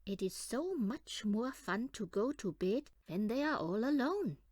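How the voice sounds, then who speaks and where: Female children's audiobook reader, lively swooping pitch, unhurried delivery, close dry sound. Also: no echo. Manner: animated, deliberate storytelling for young children. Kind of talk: expressive read-aloud of a children's picture book.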